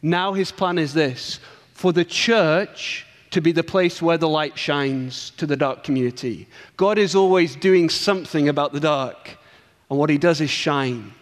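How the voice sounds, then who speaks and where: A man's voice: on the high side, measured pace, long reverb tail. A man with an English accent, a guest preacher delivering an earnest sermon with emphatic build-ups. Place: church sanctuary.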